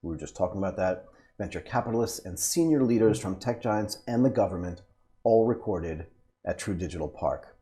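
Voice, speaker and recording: A man's voice: low-pitched, expressive, a little fast, mellow. A man hosting a conversational interview, speaking in an upbeat, encouraging manner. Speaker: podcast host.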